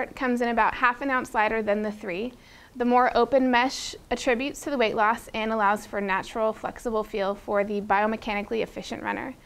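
A young woman presenting running shoes and gear for a retailer, reading a script in an upbeat way with small slips, on a lapel mic in a studio. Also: on the high side. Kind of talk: scripted product rundown. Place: studio with a plain grey backdrop.

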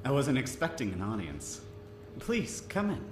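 A man playing a gentle, wistful old stallion ghost in an audio drama, soft, courteous and crooning. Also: mid-range voice, wildly swooping pitch, normal speed. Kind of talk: audio drama acting with song. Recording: roomy playback with music underneath.

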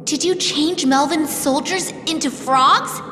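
A woman's voice: high voice, takes it slowly, over music. A woman voicing a girlish young fairy queen, earnest, delicate and hesitant, in a fantasy video game. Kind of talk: scripted video game voice acting.

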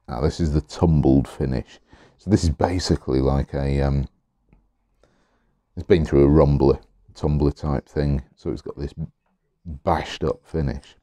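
A middle-aged man, slightly hoarse, chatting casually as he unboxes pens at his desk, rambling with many pauses and restarts. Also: a bass voice, mellow, no room sound. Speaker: pen collector vlogger. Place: indoors at a desk, overhead camera on hands.

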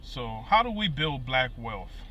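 A man's voice: mid-range voice, big pitch swings, a little slow, sharp tone, slight background noise. A man in his thirties with a Liberian accent, talking earnestly to his phone camera from inside a vehicle, with many fillers and repeated words. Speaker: musician and vlogger. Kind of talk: casual talk to camera. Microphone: phone camera at arm's length.

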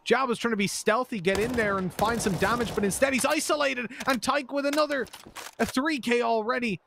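Chatty Irish esports caster. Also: male voice, brisk, gravelly, background noise. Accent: Irish, with an American lilt. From Ireland. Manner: chatty, laughs easily, rises in pitch with the action. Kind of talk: play-by-play and color commentary.

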